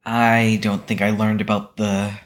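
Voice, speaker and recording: A woman playing a timid, apologetic newcomer in an audio drama, with a hesitant, halting delivery. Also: very deep voice, flat delivery. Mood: shy, apologetic.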